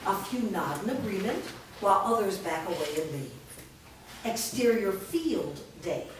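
A woman narrating stage directions in a staged script reading, reading them clearly and evenly. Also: medium pitch, lively intonation, a little slow. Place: large indoor studio room. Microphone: far camera. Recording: echoey room from far off, noisy background.

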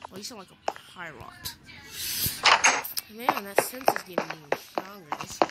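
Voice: mid-range voice, expressive, normal speed. A child improvising a Minecraft roleplay, on a noisy home recording with clicks. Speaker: gamer playing all parts in a roleplay video.

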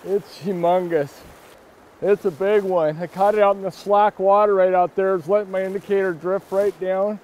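Excitable middle-aged man outdoors. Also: high voice, normal speed. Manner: excitable, exclaims often. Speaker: fly-fishing video host. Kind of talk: casual outdoor commentary. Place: outdoors on a riverbank.

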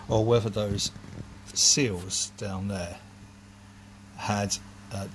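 A man's voice: fairly low pitch, a little hiss or hum, poor audio. A man, rambling casually and self-mockingly while filming his home brewery workshop on a handheld phone.

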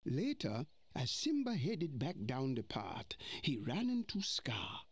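An actor playing an old male mandrill elder, narrating a storybook read-along in an expressive way. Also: mid-range voice, slow, slightly rough, no room sound, full character voice. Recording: music playing behind.

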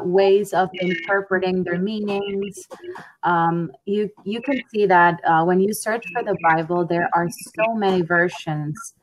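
A young woman from Honduras talking on a headset over a video call, thoughtful and pausing with ums. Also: fairly low pitch, slight background noise, rough recording. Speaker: language learner. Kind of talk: casual video call conversation. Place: home room.

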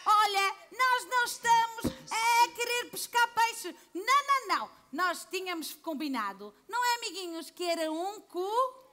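A woman's voice: very high voice, expressive, moderate pace, pushed and bright, faint music behind. A woman children's entertainer on an outdoor stage, talking in an exaggerated, sing-song way. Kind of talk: playful stage show for kids.

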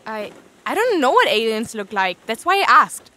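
A woman acting a suspicious, edgy armed survivor in an audio drama, asking halting questions. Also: on the high side, big pitch swings, bright, forceful tone. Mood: bewildered, suspicious.

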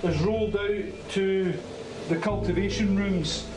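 A man's voice: high-pitched, fairly even intonation, a little slow. A man, a police officer, walking through a house and explaining what he sees, with many fillers and restarts. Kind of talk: on-site walkthrough commentary. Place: inside a house, walking room to room. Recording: loud background noise.

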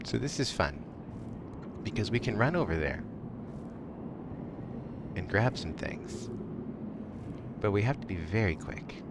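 Casting: male let's play host, lowish creaky voice, offhand and wry, muttering commentary to himself. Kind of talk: casual gameplay commentary. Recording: loud rumbling game noise behind. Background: loud game sound effects and ambience.

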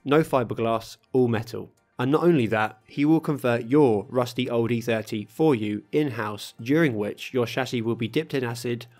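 A man reading a scripted voice-over for his own car restoration video, calmly explaining each workshop step. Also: mid-range voice, moderate pace, hardly any pauses, faint music behind. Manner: steady, matter-of-fact explaining of workshop steps. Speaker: host of a car restoration channel.

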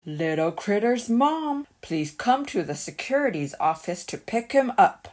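A woman voicing a helpful male critter security guard in a storybook, measured and official. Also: mid-range voice, sing-song, a little mellow, faint music behind. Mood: announcing.

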